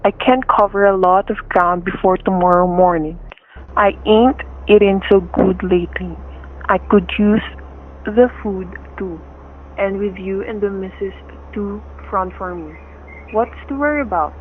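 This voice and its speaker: A man's voice: high voice, a little slow, slight background noise. A tinny, narrow vintage radio recording carries a menacing gangster on the run. He is bossy and jumpy, firing off curt street slang. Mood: sly, cocky. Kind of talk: old-time radio crime acting.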